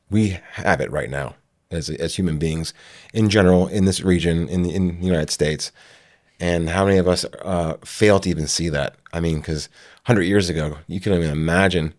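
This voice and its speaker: A man's voice: low voice, normal speed. A man hosting an interview, talking in a reflective way and asking follow-up questions. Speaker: host. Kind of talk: casual interview conversation.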